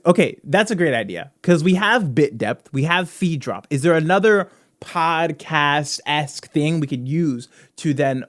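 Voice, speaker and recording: A man hosting a podcast, brainstorming casually and excitably with his co-hosts, swearing now and then. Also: a little high, dry recording, clean studio sound. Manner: excitable, profane, frequent "dude" and "right?". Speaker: podcast host.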